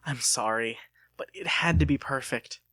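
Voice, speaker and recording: A man's voice: medium pitch, normal speed, sharp tone, no echo, rough recording. A man voicing an earnest, nervous young male inventor who speaks hesitantly and respectfully in a dramatic audiobook. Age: young adult.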